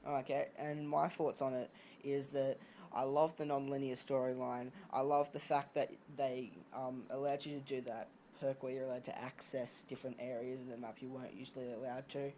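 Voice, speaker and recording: Male host, casual gaming chat, medium pitch, slightly noisy home recording. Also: flat delivery, normal speed, few pauses, warm tone.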